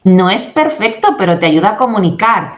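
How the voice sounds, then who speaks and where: A woman in her forties from Spain, a guest coach in a radio interview, talking in an animated way and acting out quoted exchanges. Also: mid-range voice, normal speed, highs cut off. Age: 40s. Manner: animated, acts out quoted dialogue. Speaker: guest, English communication coach.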